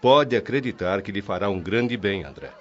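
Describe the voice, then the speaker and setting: Radio drama mentor, Brazilian male actor: lowish voice, fatherly, patient, reassuring, slightly muffled sound. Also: expressive, moderate pace, natural voice. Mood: gently cautioning. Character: kindly, patient male mentor advising a younger friend. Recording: dull band-limited recording.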